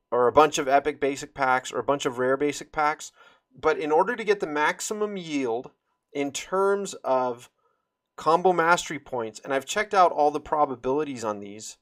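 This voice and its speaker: A man giving an informal, rambling walkthrough of a game, explaining details as he goes. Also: medium pitch. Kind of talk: informal screen-share game tutorial.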